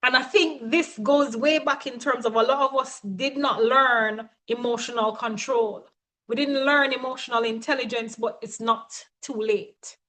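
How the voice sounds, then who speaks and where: A woman's voice: on the high side, fairly even intonation, a little slow, fairly bright tone, rough recording. A woman coach giving a motivational teaching talk, speaking emphatically and often checking in with her listeners.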